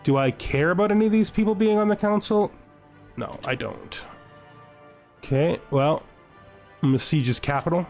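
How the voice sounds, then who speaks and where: Man, gameplay host, somewhat high voice, very lively intonation, casual, thinking aloud, playful gloating, music underneath. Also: normal speed, pauses often. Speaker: gaming video host. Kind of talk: casual gameplay commentary with playful in-character asides.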